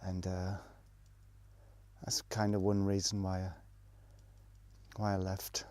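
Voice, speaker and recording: A man's voice: low voice, completely flat delivery, slow pace, a little mellow, no room sound. A man, casually recounting his travels in a rambling way, with plenty of fillers and restarts. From England. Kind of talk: casual spoken storytelling.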